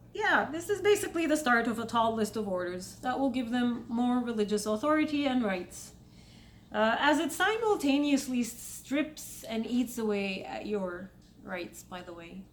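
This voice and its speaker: Woman, Egyptian accent, young adult, fairly high voice, emphatic, soft noise behind. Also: lively intonation, unhurried. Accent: Egyptian Arabic. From Saudi Arabia. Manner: emphatic, spikes in pitch when making a point. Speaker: vlogger. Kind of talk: casual commentary monologue.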